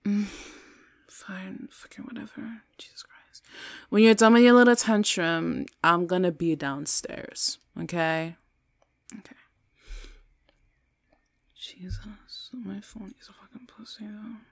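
A woman acting a foul-mouthed young woman in an audio drama, speaking in a halting, informal way with breathy murmurs. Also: on the low side, no room sound. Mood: irritated, dismissive. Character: foul-mouthed young woman, casual with friends, pleading when threatened. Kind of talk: solo audio roleplay drama, one side of dialogue.

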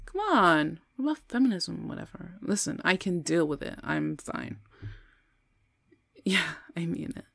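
A woman acting a foul-mouthed young woman in an audio drama, speaking in a halting, informal way with breathy murmurs. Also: on the low side, no echo, studio quality. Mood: breezy, tipsy-cheerful. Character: foul-mouthed young woman, casual with friends, pleading when threatened. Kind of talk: solo audio roleplay drama, one side of dialogue.

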